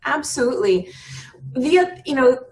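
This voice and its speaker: Earnest woman guest, slightly high voice. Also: animated, moderate pace, a little mellow, poor audio. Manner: earnest, stumbles and restarts, many "you know"s. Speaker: guest on a business podcast. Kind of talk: reflective conversational chat.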